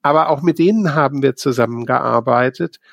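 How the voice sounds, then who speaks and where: A man's voice: mid-range voice, a little fast, vocal fry, no room sound, studio quality. A man working as a business consultant, telling his experience in an earnest, hesitant conversational way. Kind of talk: casual chat.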